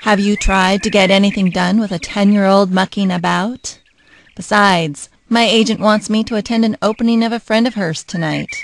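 A woman's voice: medium pitch, fairly even intonation, moderate pace, natural voice. A woman playing an irritable, cutting divorced mother in an audio drama, curt and scolding. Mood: resentful. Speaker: voice actor.

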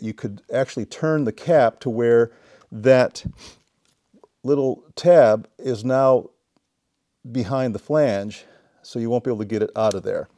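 A middle-aged man giving a casual, deliberate, step-by-step hands-on repair tutorial. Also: medium pitch, dark tone. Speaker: DIY repair demonstrator. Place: indoor home workshop.

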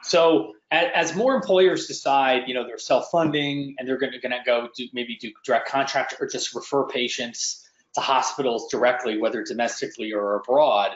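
A man's voice: mid-range voice, steady tone, normal speed. A middle-aged man hosting a webinar on a video call, talking conversationally with many ums and restarts. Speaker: webinar host. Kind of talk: presenting and interviewing. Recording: roomy, dull video call audio.

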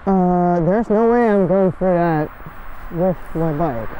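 A young woman gives casual, excitable, blunt commentary with swearing, recorded on a helmet action camera while riding outdoors. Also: fairly low pitch, slow pace. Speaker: motovlogger. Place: outdoors, riding an electric motorbike on roads and forest trails. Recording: noisy outdoor ride audio.

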